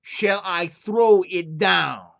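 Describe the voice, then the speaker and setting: Man voicing a slow, thundering giant spirit. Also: sits high, vocal fry, fairly bright tone, voice pushed up, full character voice. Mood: booming, commanding. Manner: slow, drawn-out, thundering commands. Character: booming commanding giant, a haunting spirit.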